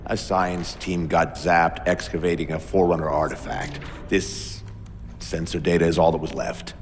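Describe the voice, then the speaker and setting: An actor voicing a gruff, authoritative ship captain giving orders in a video game. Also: male voice, deep voice, fairly even intonation, moderate pace, long reverb tail, faint music behind, lightly acted. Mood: commanding.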